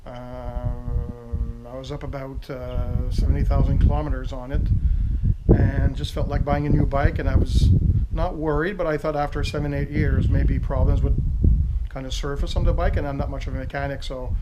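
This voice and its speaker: A man's voice: mid-range voice, little pitch movement, normal speed, dull audio, heavy background noise. A man in his fifties from Quebec with a strong French Canadian accent, French his first language, rambling casually through a home video with many fillers and restarts. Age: 50s. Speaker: retired military motorcycle owner.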